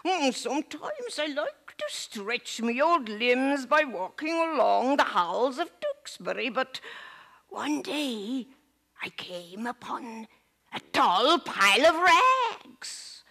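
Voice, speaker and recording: A woman's voice: sits high, very animated, slow pace, pushed and bright, full character voice. A woman playing an old, sick woman in a poorhouse, telling her memories in a theatrical one-woman monologue. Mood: dramatic. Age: older. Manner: dramatic storyteller, gasps and drops to whispers.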